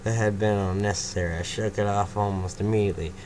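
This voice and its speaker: Male story reader, casual, low-pitched, clear background hiss. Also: fairly even intonation, fast, a little mellow. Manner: casual, breaks off to laugh and comment. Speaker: fanfiction reader. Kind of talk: first-person story reading.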